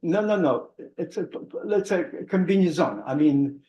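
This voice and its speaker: An elderly man with a Russian accent tells stories from his past, his voice sitting somewhat high for a man. He stops and restarts often, with chuckles between phrases. The sound carries a roomy hall echo. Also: lively intonation, dark tone, lo-fi sound. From Odessa, Ukraine. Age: 80s. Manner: halting, with frequent restarts and chuckles. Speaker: author giving a book talk. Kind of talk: conversational anecdotal storytelling.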